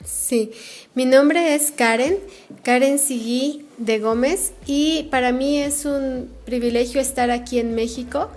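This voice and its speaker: A woman's voice: sits high, slow pace, a little mellow. Guatemalan woman recounting her missionary path. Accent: Guatemalan Spanish. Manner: earnest, frequent fillers like "este" and "eh". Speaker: missionary guest. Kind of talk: interview answers.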